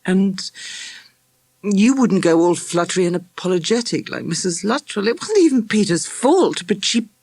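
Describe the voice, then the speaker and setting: A storybook reader voicing a thoughtful, earnest schoolboy with a slightly halting way of talking. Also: mid-range voice, animated, a little mellow, no echo, pitched above the performer's own voice. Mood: troubled, earnest. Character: earnest, thoughtful young schoolboy, troubled but keen.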